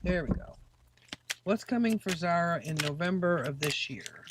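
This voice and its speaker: A woman's voice: lowish voice, very animated, normal speed, heavy background noise. A woman doing a casual live-stream tarot reading, chatty and rambling with many fillers. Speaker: tarot reader.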